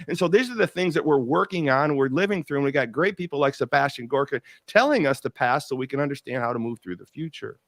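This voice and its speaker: A man's voice: a little high, dry recording, clean studio sound. Rambling, enthusiastic male podcast host. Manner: enthusiastic, rambling, many restarts. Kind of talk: interview host, casual chat.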